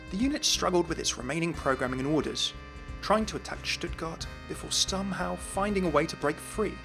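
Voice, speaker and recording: A man with a slightly higher-than-average voice narrates a scripted lore video. He phrases it like a dramatic story, measured and deliberate. Faint guitar music plays behind him. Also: a bit bright.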